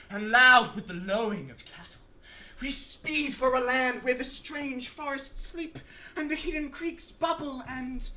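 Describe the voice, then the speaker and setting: A man's voice: very high-pitched, pushed and bright, heavily acted. Young man acting pompous Australian academic, echoey stage. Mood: nervous, declaiming. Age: teens. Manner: pompous, florid, oratorical. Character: pompous, aggrieved male academic lecturer slowly unravelling. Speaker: student actor. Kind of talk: theatrical stage monologue. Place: indoor school drama showcase, filmed performance. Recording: roomy echoing stage, faint noise, clicks.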